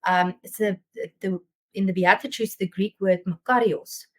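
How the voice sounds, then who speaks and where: A woman's voice: mid-range voice, steady tone. Thoughtful South African Afrikaans woman lecturing. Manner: thoughtful, searching for words with frequent pauses. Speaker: theology lecturer. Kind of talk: academic seminar talk. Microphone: video call.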